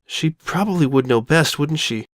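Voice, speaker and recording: An elegant, genteel mare acted out by a man speaks with refined, theatrical poise. The pitch sits fairly high and swings expressively through formal, tender-hearted phrasing. It is a cartoon-pony drama, recorded close and dry. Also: expressive, moderate pace, no echo. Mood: composed, concerned. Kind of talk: dramatic fan fiction reading.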